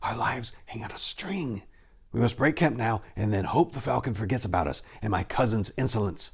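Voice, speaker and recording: A man's voice: mid-range voice, lively intonation, normal speed, gravelly, husky. A man performs a cautious male companion of a warlord in a sword-and-sorcery storybook. He is anxious and grave. His words come as urgent warnings. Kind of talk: dramatic reading of sword and sorcery.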